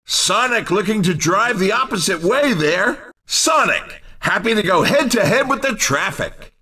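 An actor playing an excitable, cheeky race commentator in a video game, with a wry, showy sportscaster delivery. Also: male voice, high-pitched, a little slow, husky, far from the mic, heavily acted. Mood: wry, excited.

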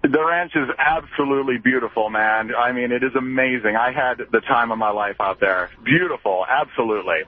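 Chummy husky-voiced male caller. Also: mid-range voice, a little fast, few pauses. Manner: effusive, chummy, says buddy and man. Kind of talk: friendly phone-in chat. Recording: slightly muffled radio broadcast.

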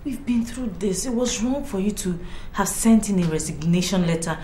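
An actress playing an anxious young wife who talks hesitantly and stammers out of fear. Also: female voice, medium pitch, animated, normal speed, mellow, reverberant, a little hiss or hum, close to an everyday voice. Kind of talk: film drama acting.

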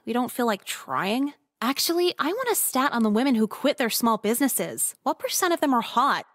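An actress playing a sardonic, manipulative eighteen-year-old girl in a visual novel game, with deadpan snark. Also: sits high, no echo, clean studio sound.

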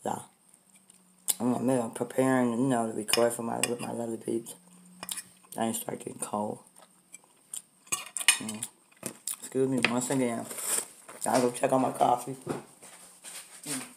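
A middle-aged woman with a hoarse voice from a cold, chatting to her viewers while eating breakfast in her home kitchen. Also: rumbling low, flat delivery, normal speed, long pauses, noisy background. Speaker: food vlogger. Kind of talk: casual eating vlog chat.

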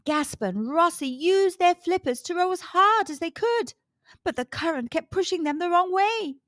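A woman reads a children's story aloud as a calm, deliberate storyteller speaking to young listeners. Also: very high-pitched, no echo, clean studio sound. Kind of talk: read-aloud story narration.

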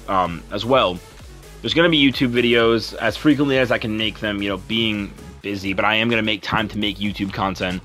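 Young man, deep low voice, bright edgy tone, earnest, rambling, apologetic, gameplay voice-over. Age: young adult. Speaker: YouTuber and streamer.

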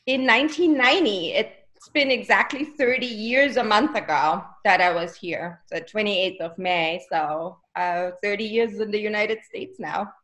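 A woman's voice: medium pitch, a bit bright. Chatty German woman on a video call. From Germany, near Salzburg. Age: 40s. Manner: chatty, laughs easily, quick asides. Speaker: guest, costume designer. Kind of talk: casual interview chat. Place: home room, video call. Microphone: webcam on video call.

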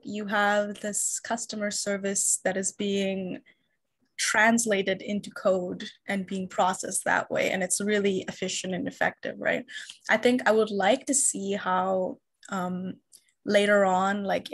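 A woman's voice: mid-range voice, a bit bright. A young woman from Sri Lanka speaking in an online class discussion, hesitant, with many fillers and restarts. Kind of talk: casual discussion. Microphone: video call.

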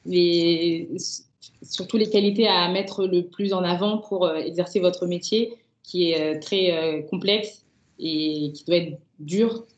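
Young female student interviewer, formal but hesitant delivery, roomy and slightly muffled video-call sound. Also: fairly low pitch. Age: young adult. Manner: hesitant, halting. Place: indoor room, video call.

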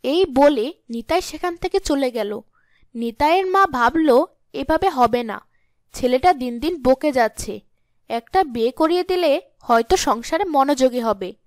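A woman's voice: high voice, dry recording, studio quality. A woman reading scripted storytelling narration for a cartoon.

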